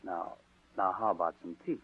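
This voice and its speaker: An actor playing a scheming nephew, coaxing and falsely solicitous, in 1940s radio drama style. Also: male voice, low-pitched, fairly even intonation, normal speed, husky. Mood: fussing, coaxing. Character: scheming nephew feigning cheerful concern. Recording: tinny, noisy old radio recording.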